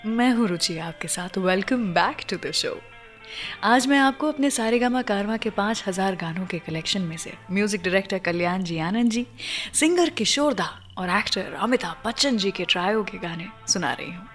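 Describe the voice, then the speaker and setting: A woman's voice: mid-range voice, animated, moderate pace, a bit bright, faint music behind. A woman hosting a radio show, telling stories in a conversational way and speaking straight to listeners. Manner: conversational storytelling, addressing listeners directly. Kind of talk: scripted radio presenting with film-music stories.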